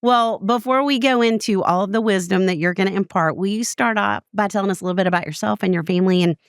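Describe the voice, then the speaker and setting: A woman co-hosting a podcast who chats casually and reacts quickly while she interviews a guest. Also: medium pitch, clear, resonant tone, no room sound, clean studio sound. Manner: warm, chatty, quick to react. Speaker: podcast co-host.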